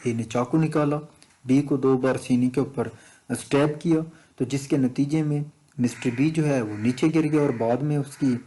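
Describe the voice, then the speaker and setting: A man's voice: on the high side, warm tone. A man with a Pakistani accent teaching as an exam tutor, methodically reading out questions and explaining answers, switching between two languages.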